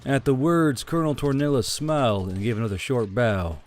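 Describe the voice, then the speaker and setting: A man's voice: medium pitch, fairly even intonation, normal speed. A man reading a dramatic war story as an audiobook narrator, in a scripted storytelling style.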